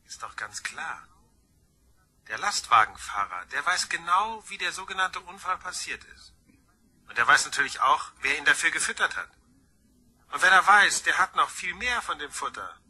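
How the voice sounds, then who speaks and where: An actor playing a sly, taunting anonymous blackmailer in a crime radio drama, insinuating and mock-sweet. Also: male voice, a little high, very animated, normal speed, pauses often, sharp tone, husky, full character voice. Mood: menacing, taunting.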